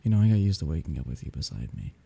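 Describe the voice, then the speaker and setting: A man performs a mourning male lover in an intimate ASMR roleplay, saying goodbye at a graveside. The voice is very dark and soft, heavily breathy and husky, with a creaky edge. He speaks haltingly and tenderly, often sinking toward a whisper. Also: very deep voice, sing-song, no room sound, heavily acted. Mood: wistful. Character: grieving man saying farewell at his dead lover's grave.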